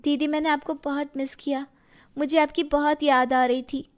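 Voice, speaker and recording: A very high-pitched young daughter-in-law from a cartoon family tale, acted by a woman. She sounds innocent and earnest, deferring to her elders with a plaintive edge. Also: little pitch movement, normal speed, lightly acted. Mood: pleading, wistful.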